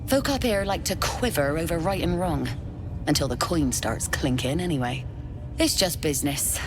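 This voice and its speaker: A woman's voice: low-pitched, expressive, normal speed, over music. A woman playing a shrewd, cheery, mercenary merchant in a fantasy video game. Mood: wry, mercenary.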